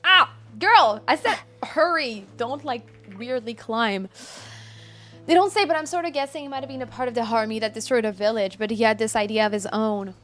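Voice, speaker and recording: Female game streamer, higher voice, casual and expressive, faint music behind. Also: moderate pace. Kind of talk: casual live stream chat and reactions.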